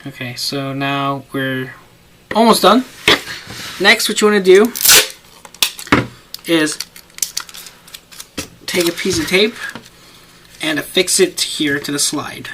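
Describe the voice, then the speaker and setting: A man's voice: a little high, slow pace, long pauses. Rambling young man over noisy camera audio. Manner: rambling, talks while working, self-interrupting. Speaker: paper-craft tutorial maker. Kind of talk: casual hands-on tutorial. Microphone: camera mic.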